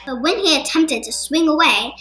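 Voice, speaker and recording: A woman's voice: high voice, expressive, normal speed, heavy background noise. A woman reading a scripted children's superhero-style story aloud as a narrator. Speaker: storybook reader for a podcast. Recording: music behind the voice. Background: background music.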